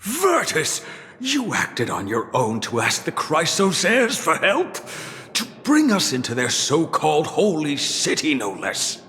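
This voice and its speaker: An actor playing a stern, proud priest in a game cutscene, preachy and firm. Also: male voice, on the high side, wildly swooping pitch, a little slow, sharp tone, full character voice. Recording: echoey hall reverb.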